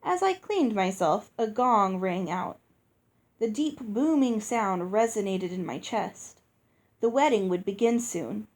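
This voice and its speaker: A woman reading a storybook aloud as narrator, in an expressive scripted style. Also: medium pitch, measured pace. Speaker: audiobook reader.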